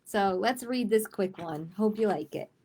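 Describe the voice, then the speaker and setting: A woman's voice: medium pitch, measured pace, mellow, slight background noise. A woman hosting a children's storytime, reading picture books aloud expressively and adding simple chatty asides with little check-in questions. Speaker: library storytime host.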